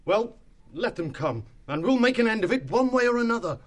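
A man acts out a young woodland creature in captivity, with a fairly high male voice. The delivery is grim and determined, ready for a fight, in storybook style. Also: animated, moderate pace. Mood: resolute.

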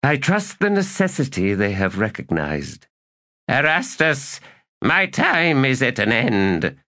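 A man voicing a weary, melancholy old male elder god, speaking in a resigned, slow and measured way. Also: mid-range voice, wildly swooping pitch, bright, forceful tone, pitched above the performer's own voice, heavily acted. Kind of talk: audiobook character reading.

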